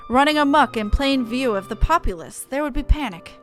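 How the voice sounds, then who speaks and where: A woman voicing a brisk, officious fantasy-game ally in a formal, declamatory manner. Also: sits high, animated, faint music behind. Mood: urgent, rallying.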